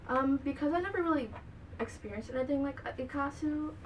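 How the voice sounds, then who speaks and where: A woman's voice: high-pitched, a little fast. Young American woman, noisy distant recording. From Bangladesh, raised in the United States from early childhood. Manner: hesitant, peppers speech with "like". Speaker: college student being interviewed. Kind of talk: informal interview answers. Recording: noisy room, distant microphone.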